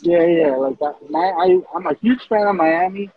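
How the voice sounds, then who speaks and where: A man co-hosting a casual sports podcast, talking in an animated way with stammered restarts. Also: sits high, moderate pace, dry recording, highs cut off. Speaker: podcast co-host.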